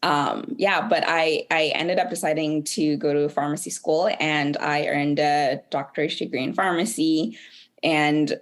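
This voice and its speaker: A woman from Zimbabwe, an author guest on a podcast, telling her story in a measured, reflective way with soft laughs. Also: low-pitched, steady tone. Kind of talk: podcast interview.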